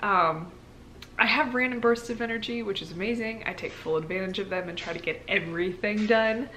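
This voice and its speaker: A woman's voice: medium pitch, a little fast, pushed and bright, slight background noise. A young adult woman chatting casually to her viewers as a vlogger, in an informal, candid, rambling way with plenty of "like". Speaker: pregnancy vlogger.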